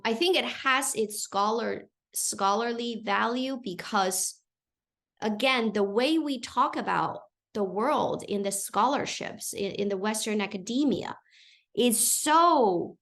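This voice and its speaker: A woman of around forty from China, speaking English with a Chinese accent, giving a thoughtful, searching answer at her dissertation defense and often checking in with "right?". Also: medium pitch. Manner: thoughtful, searching for words, frequent tag "right?". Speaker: doctoral candidate defending a dissertation. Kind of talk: answering a committee's question in an academic defense.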